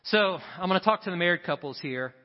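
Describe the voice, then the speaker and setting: A man's voice: sits high, animated, quick pace. Pastor guy preaching in a big echoey church, kinda muffled audio, hits his points twice. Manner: emphatic preaching, repeats key lines. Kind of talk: sermon. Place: church sanctuary. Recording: reverberant hall, dull sound. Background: church congregation.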